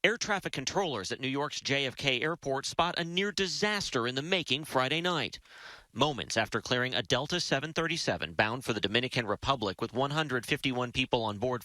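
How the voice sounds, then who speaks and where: A man's voice: medium pitch, bright, forceful tone, no room sound, poor audio. A man correspondent voicing a news report with punchy, dramatic narration. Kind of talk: scripted news report voice-over.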